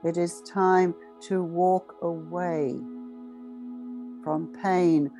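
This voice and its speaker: A woman leading a guided meditation in a solemn, ceremonial way, speaking in short, measured phrases with long pauses. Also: lowish voice, steady tone, music in the background.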